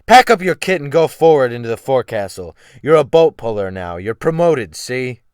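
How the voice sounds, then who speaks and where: A man's voice: mid-range voice, big pitch swings, moderate pace, clean tone, no room sound, clean studio sound, close to an everyday voice. A man voicing a brutal, commanding ship's captain who snaps orders with mocking menace in a dramatic audiobook. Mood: imperious. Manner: snapping, barking orders, thundering. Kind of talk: dramatic audiobook character reading.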